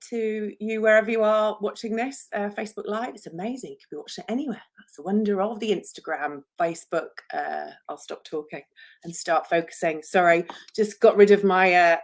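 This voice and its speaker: A woman's voice: mid-range voice, moderate pace. A woman in her forties with an English accent, an author chatting casually on a solo livestream, self-deprecating and jokey, with frequent ums. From England. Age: 40s.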